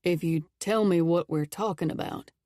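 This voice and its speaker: A woman reading the part of a calm, controlled man in an audiobook, speaking in a measured, soothing way. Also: on the low side, dry recording, studio quality, lightly acted. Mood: pleasant.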